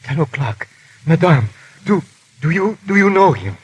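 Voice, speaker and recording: An actor playing a wary, frightened innkeeper who talks tersely and nervously in a 1930s radio drama. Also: male voice, fairly high pitch, very animated, a little slow, breathy edge, lo-fi sound, full character voice. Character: wary, frightened male innkeeper, gruffly evasive. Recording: hissy vintage 1930s radio broadcast.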